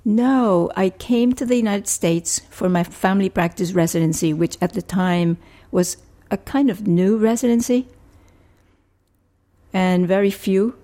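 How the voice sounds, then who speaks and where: An older woman from Rico, a family physician answering interview questions in a measured, thoughtful way. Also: mid-range voice, dark tone. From Puerto Rico. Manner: measured, thoughtful, with frequent restarts.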